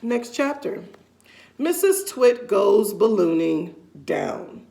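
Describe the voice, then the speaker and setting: Creaky-voiced woman reading a children's story aloud. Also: mid-range voice, sing-song, slow pace, dark tone, slight background noise. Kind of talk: expressive children's story reading with casual asides.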